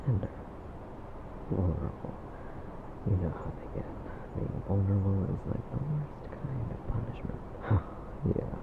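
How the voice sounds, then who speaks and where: A man's voice: deep voice, vocal fry, full character voice. A man voicing blunt sardonic friend Gale. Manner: blunt, sarcastic, lecturing. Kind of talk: ASMR roleplay. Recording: lo-fi phone-like sound with loud noise.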